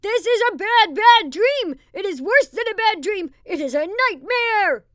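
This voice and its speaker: A woman's voice: very high voice, animated, unhurried, a bit bright, dry recording, studio quality, full character voice. A woman voicing a kindly, distressed male giant who exclaims in muddled grammar, in storybook narration style. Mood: alarmed, distressed. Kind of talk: audiobook character acting.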